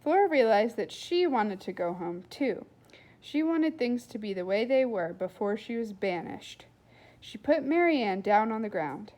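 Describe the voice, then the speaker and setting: A woman's voice: fairly high pitch, lively intonation, unhurried, warm tone. A woman hosting a children's read-aloud, telling the story expressively.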